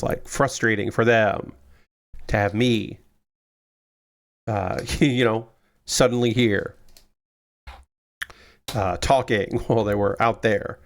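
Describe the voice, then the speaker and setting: A man streaming live from a small room at home, chatting casually and rambling while he builds, with a loud booming voice. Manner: rambling, chatty, restarts often.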